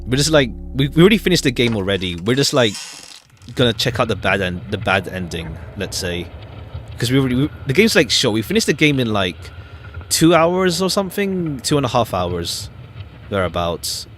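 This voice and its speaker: A man's voice: mid-range voice, sing-song, normal speed, fairly bright tone, slight background noise. A man streaming a game with casual commentary, rambling hesitantly and repeating phrases as he goes. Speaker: live streamer. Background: faint jazzy game music.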